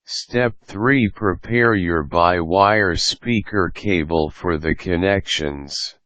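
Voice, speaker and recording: A man reading a scripted instructional guide as a tutorial narrator, in a measured, step-by-step manner. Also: low-pitched, a bit bright, no echo.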